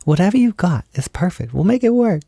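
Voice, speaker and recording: A man playing a gentle, reassuring partner in an intimate ASMR-style roleplay, soft-spoken and soothing, with casual phrasing that often slips toward a whisper. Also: sits high, sing-song, fairly quick, creaky, no echo, studio quality, exaggerated voice. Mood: chatty, encouraging. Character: gentle, reassuring male partner doing a beginner's makeup.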